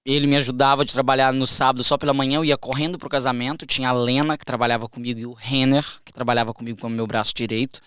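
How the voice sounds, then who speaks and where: A young Brazilian man, a podcast guest, telling a story in a casual, animated way, with frequent restarts. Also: mid-range voice, very fast, few pauses, smooth, dry recording. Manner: animated, acts out quoted voices, trails off and restarts.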